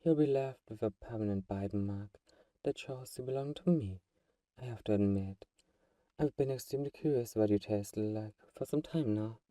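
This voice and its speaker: A man playing a possessive vampire who is gentle, coaxing and condescendingly protective, in a soft-spoken, intimate ASMR roleplay. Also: fairly low pitch, normal speed, no room sound, far from the mic, close to an everyday voice. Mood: soothing, coaxing.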